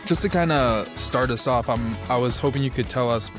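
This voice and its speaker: A man's voice: mid-range voice, fairly quick, music in the background. A man hosting a podcast interview in a casual, conversational way. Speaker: podcast host.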